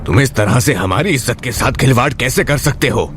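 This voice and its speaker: A man's voice: medium pitch, lively intonation, fairly quick, fairly bright tone, a little hiss or hum, lightly acted. A man playing a stern, authoritative old patriarch of a wealthy family in a Hindi audio drama. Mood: angry, indignant. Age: older. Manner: authoritative, indignant. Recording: music under the voice.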